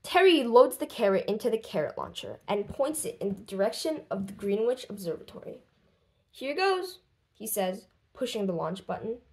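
A woman reading a children's book aloud expressively, with deliberate, dramatic phrasing and lifted voices for dialogue. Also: mid-range voice, big pitch swings. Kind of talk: expressive storybook read-aloud.

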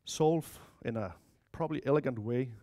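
Mellow Danish-accented man giving a talk. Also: mid-range voice, normal speed. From Denmark or Spain, of mixed Spanish-Danish family. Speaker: conference speaker, software developer. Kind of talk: informal technical talk with slides. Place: lecture room, indoors.

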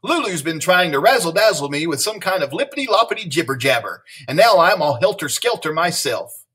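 A man's voice: on the high side, sing-song, a little fast, sharp tone, slightly rough, recorded from a distance, full character voice. A man voicing a flustered, scolding woman schoolteacher in a storybook, exclaiming in sing-song rhyming slang.

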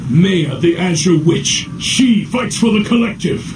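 An actor playing a haughty, fanatical armored cult enforcer in a video game, speaking with cold, pompous formality. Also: male voice, sits high, expressive, measured pace, reverberant, far from the mic, noisy background. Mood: proud. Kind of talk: video game cutscene acting.

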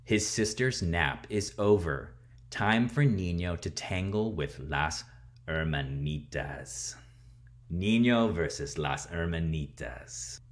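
A man in his thirties reading a picture book aloud and explaining things to children in a theatrical, expressive way. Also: low-pitched, slow pace. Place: small room at home, indoors.

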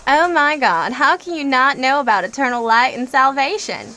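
An actress playing a flippant, zealous asylum inmate in an audio drama, chatty, sassy and theatrical, with slangy sarcasm. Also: female voice, high voice, animated, moderate pace, pushed and bright. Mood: incredulous.

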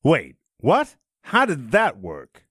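Incredulous, higher-voiced man interjecting. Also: slow pace, slightly rough, dry recording, studio quality. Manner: incredulous, excitable. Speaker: sidekick questioner. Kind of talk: scripted comic interjections.

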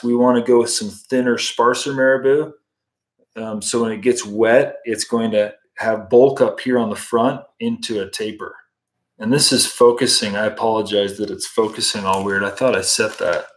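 A man's voice: fairly low pitch, moderate pace. A man in his thirties from the United States, casually teaching fly tying on a livestream while chatting with viewers from home. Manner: relaxed, chatty, answers viewer comments while working. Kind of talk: casual live tutorial with chat. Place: home room, indoors.

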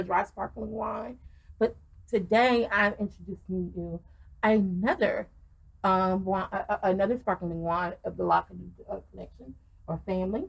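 A woman's voice: mid-range voice, poor audio. A middle-aged woman reviewing wine in a casual vlog, gushing and full of ums and restarts, recorded on a webcam in a small wooden room. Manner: effusive, many ums and restarts.

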